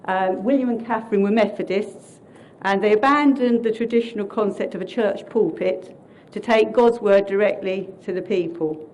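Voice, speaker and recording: A loud-voiced woman minister talks a council meeting through a short history, friendly and explanatory, mostly reading from her notes. Her pitch sits in the middle of the female range. A faint hiss runs under the recording. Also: normal speed. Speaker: Salvation Army minister. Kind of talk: community presentation at a council meeting.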